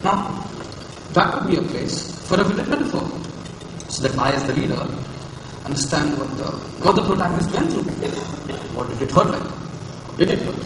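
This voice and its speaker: A male stage actor plays an earnest young teaching assistant, explaining haltingly in a fairly high voice. He is flustered and careful. The recording is distant, in a reverberant hall with noise over it. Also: expressive, natural voice. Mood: awkward, insistent. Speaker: stage actor in a college play. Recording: roomy hall from far off, loud hiss.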